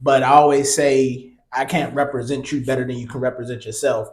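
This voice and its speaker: A man's voice: medium pitch, fairly quick. Chatty male podcast host, echoey room. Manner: relaxed, chatty, many ums and repeated words. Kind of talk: casual podcast interview.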